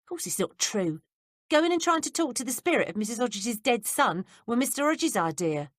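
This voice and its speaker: Woman reads sly young manservant, gossipy and blunt, animated delivery. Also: on the high side, normal speed, no room sound, studio quality, pitched above the performer's own voice. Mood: confiding. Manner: blunt working-class speech.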